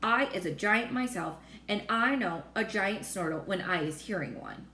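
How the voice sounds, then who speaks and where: A woman voicing a calm, friendly male giant from a children's storybook, speaking in folksy, garbled grammar. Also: mid-range voice, normal speed. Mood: assured. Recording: roomy echo with faint noise.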